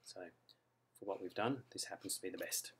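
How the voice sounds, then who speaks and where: An Australian man explaining a computer design program step by step in a relaxed tutorial, with frequent ums. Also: low-pitched, fairly quick, slight background noise. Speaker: software author demonstrating his add-in. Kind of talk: screen-recorded software tutorial. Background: mouse clicks and keyboard typing.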